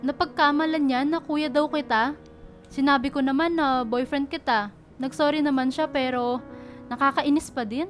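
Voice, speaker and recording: A woman's voice: sits high, fairly even intonation, normal speed, soft background music, lightly acted. An actress playing an affectionate, teasing young college girlfriend in a Filipino radio romance drama. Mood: flustered, annoyed. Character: baby-faced young college woman, playful, affectionate, easily miffed girlfriend.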